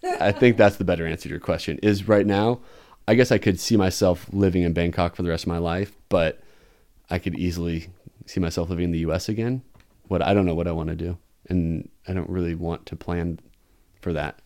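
Deep-voiced man chatting thoughtfully. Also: fairly even intonation, a little fast, a little mellow. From United States. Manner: thoughtful, hesitant, restarts, chuckles. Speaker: guest, expat math teacher. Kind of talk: casual interview chat.

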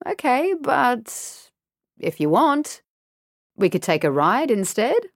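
A woman's voice: a little high, big pitch swings, slow pace, no echo, clean studio sound, voice pushed up, full character voice. A woman voicing an older male stranger who sounds friendly but menacing, in a told story. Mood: falsely friendly. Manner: smooth, deceptively friendly.

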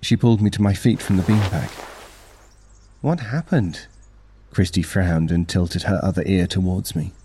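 A man reading a first-person horror story as dramatic, measured scripted narration. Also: low-pitched, mellow, a little hiss or hum.

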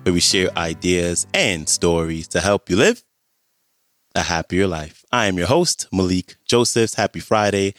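Podcast host, man, very low and hoarse, relaxed chat, dry sound. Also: unhurried, no echo, faint music behind. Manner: relaxed, chatty, friendly greetings. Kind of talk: podcast introduction.

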